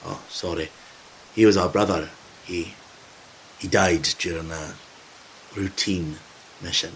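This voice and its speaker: A man playing a gruff, loyal zombie apocalypse survivor in an audio drama, talking informally and hesitantly with some swearing. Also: deep voice, steady tone, slow pace, fairly bright tone, a little hiss or hum, rough recording. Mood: subdued, reflective.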